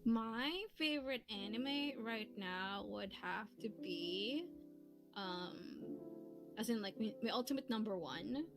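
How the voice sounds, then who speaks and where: A woman's voice: mid-range voice, takes it slowly. A woman chatting casually and giggling often as she answers questions on a livestream, as one of the hosts. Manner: giggly, laughs often while talking. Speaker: streamer, co-host answering chat questions. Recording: music playing behind the voice. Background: background music.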